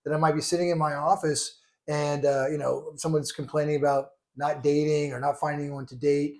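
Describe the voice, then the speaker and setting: A man's voice: fairly high pitch, little pitch movement, brisk. A man co-hosting a casual chat, earnestly clarifying points and often restarting his sentences. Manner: earnest, stresses being clear, restarts often.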